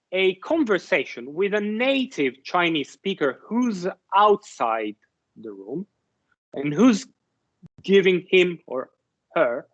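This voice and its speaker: A slow, careful man lectures with a voice a little higher than most, stopping often to restart his sentences and asking whether the class follows. The recording sounds dull and lo-fi. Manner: halting, many restarts and fillers, checks understanding with "right?". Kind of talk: university lecture.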